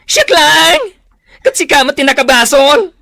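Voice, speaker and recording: Excitable, bright-voiced Ilocano salon worker. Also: female voice, high-pitched, measured pace, vocal fry, no echo, studio quality, exaggerated voice. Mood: alarmed. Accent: Ilocano Filipino. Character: anxious, excitable salon coworker. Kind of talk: comedic radio drama acting.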